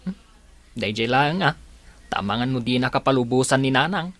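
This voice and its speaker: A woman's voice: rumbling low, lively intonation, normal speed, fairly bright tone, no room sound. A woman playing a nosy, cheeky younger sibling in a radio drama, teasing and questioning with an Ilocano accent.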